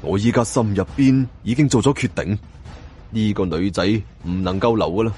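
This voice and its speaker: A man's voice: lowish voice, normal speed, warm tone. A man with a Cantonese accent narrating a scripted first-person story in a dramatic style. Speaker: audiobook narrator.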